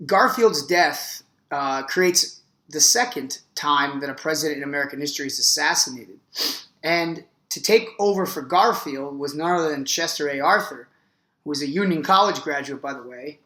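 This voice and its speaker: A hoarse man giving a relaxed history lesson as the show's teacher and host, talking casually and often filling pauses with "uh". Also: a little high, echoey room. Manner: chatty, frequent "uh" fillers, enthusiastic. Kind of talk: casual video lecture.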